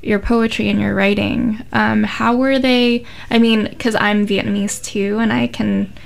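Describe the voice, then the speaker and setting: A woman's voice: medium pitch, steady tone, unhurried, gravelly. A woman interviewing a guest, curious and encouraging as she asks her questions. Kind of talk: casual interview conversation.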